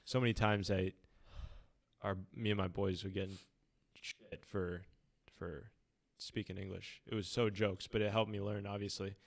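A young man hosts a casual student podcast in an easygoing, teasing way. His voice sits a little low, and he trades stories freely with his guest. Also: flat delivery, moderate pace, gravelly. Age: young adult. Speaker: podcast host. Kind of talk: casual chat.

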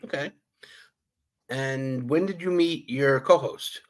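A man's voice: mid-range voice, takes it slowly. A man, a podcast host who teaches history, asking his guest short, plain interview questions.

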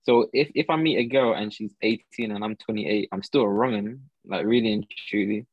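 A man's voice: fairly low pitch, normal speed, breathy edge, dry recording, highs cut off. A young man in casual chat, questioning and pushing back in slang.

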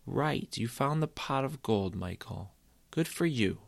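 A man's voice: mid-range voice, lively intonation, moderate pace, creaky, a bit bright. A man voicing a worried mother scolding her young son, skeptical of his tale.

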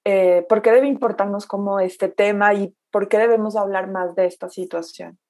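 A woman's voice: mid-range voice, steady tone, moderate pace, darkish tone. A woman hosting a podcast, introducing the episode and asking her guest questions in a conversational way, with some restarts. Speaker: podcast host.

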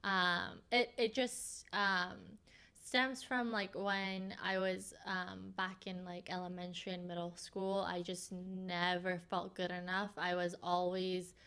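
Young woman, Farsi first language, podcast host, bright, crisp voice, reflective, halting. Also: lowish voice, little pitch movement. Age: young adult. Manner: reflective, open, halting with fillers. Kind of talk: casual heart-to-heart chat.

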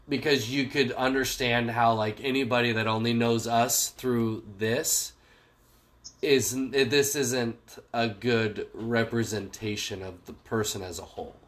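A man's voice: mid-range voice, fairly bright tone. A man co-hosting a podcast in casual chat, talking with a stuffy nose in a joking, sarcastic way. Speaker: podcast co-host. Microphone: phone call.

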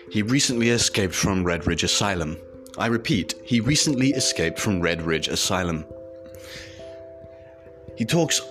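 A man voicing a menacing propaganda announcer. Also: fairly low pitch. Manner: commanding, slow slogans. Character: stern male state propaganda announcer, menacing.

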